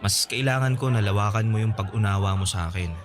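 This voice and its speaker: Man acting low-voiced devoted young fiancé. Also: quick pace, lightly acted. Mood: affectionate, reassuring. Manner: affectionate, reassuring. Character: devoted, hardworking young male fiancé, sweet and earnest. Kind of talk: Tagalog radio drama acting. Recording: music and crowd behind dialogue.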